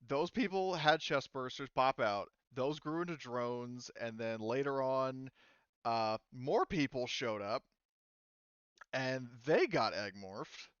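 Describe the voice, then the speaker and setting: A man's voice: medium pitch, animated, slow, a bit bright, smooth, dry recording, highs cut off. A man running a tabletop game, explaining backstory in a rambling, filler-heavy way. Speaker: game master. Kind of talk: casual out-of-character explanation.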